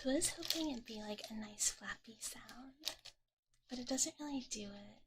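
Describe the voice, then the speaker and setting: A woman voicing a cute, gentle dragon big sister in whispered live ASMR, soothing and bubbly, with a filler-heavy chatty manner on a close microphone. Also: on the high side, moderate pace, natural voice. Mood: soft, chatty. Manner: cutesy, speaks of herself in third person, filler-heavy. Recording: clearly noisy close ASMR recording, clicks.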